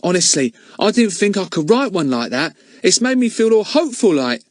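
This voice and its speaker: A man's voice: sits high, very animated, normal speed, no echo, studio quality, voice pushed up. A man voicing a simple, earnest boy who is hopeful and proud, in a storybook reading.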